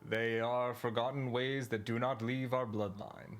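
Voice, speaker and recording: A man's voice: medium pitch, fairly even intonation, a little slow, close to an everyday voice. A man voicing a solemn ancient male warrior spirit who mentors, in grave, archaic and formal speech. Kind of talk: voicing a game character while streaming.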